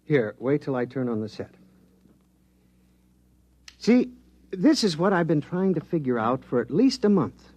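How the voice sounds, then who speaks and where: Absorbed government scientist, vintage radio drama, matter-of-fact, technical, animated intonation, raised pitch. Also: male voice, measured pace, pauses often, dry recording. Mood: absorbed, eager. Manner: technical, precise, mildly hesitant explaining. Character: earnest, absorbed male government electronics researcher, matter-of-fact. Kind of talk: 1950s radio drama acting. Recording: vintage 1950s radio recording.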